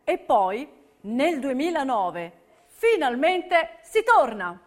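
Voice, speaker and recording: A female narrator delivers scripted documentary theatre. Her voice is fairly high, and her phrasing is emphatic and declamatory. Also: sing-song, slow pace, heavily acted. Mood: triumphant. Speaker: stage narrator.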